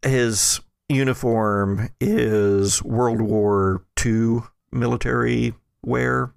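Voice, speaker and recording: Slow, gravelly male game master. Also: medium pitch, fairly even intonation, dry recording, studio quality. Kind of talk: narrating a tabletop roleplaying game, improvised.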